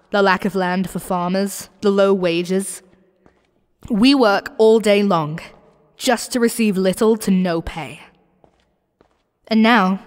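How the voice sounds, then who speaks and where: A woman playing a cocky young commoner thief in an expressive, sardonic audio roleplay, speaking straight to the listener. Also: on the low side, dry recording, clean studio sound, natural voice. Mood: bitter, impassioned. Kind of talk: audio roleplay acting, one-sided dialogue addressed to the listener.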